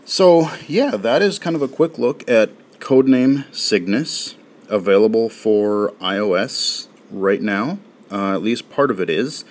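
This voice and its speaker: Laid-back lower-voiced gamer guy. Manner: relaxed, chatty, lots of fillers. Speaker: game reviewer. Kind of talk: casual game walkthrough commentary. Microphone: phone.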